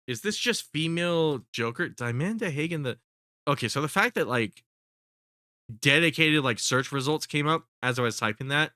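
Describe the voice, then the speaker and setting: Stuffed-up guy rambling on his stream, cussing a lot, sharp bright voice, dead dry sound. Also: mid-range voice, no room sound. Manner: rambling, profane, full of fillers and restarts. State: congested. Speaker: streamer commentating on videos. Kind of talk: casual live-stream commentary.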